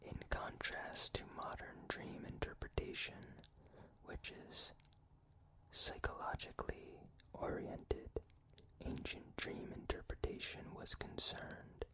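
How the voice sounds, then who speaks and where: A person reading facts in an unvoiced ASMR whisper, carefully and in short phrases with pauses between them. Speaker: reader.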